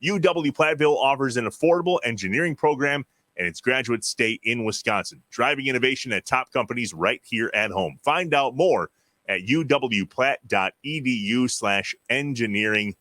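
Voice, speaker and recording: A man's voice: mid-range voice, animated, normal speed, pushed and bright, no room sound. A man hosting a sports podcast, moving between casual chat and sponsor reads. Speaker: podcast host.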